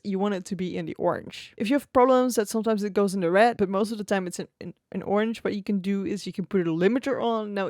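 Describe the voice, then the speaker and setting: A woman's voice: mid-range voice, darkish tone, no room sound. A woman streamer talking casually and easygoing about her gear, laughing often, close to a studio microphone at her home desk. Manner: chatty and easygoing, with frequent laughs. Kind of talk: casual tech review talk. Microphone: close XLR studio microphone on an arm.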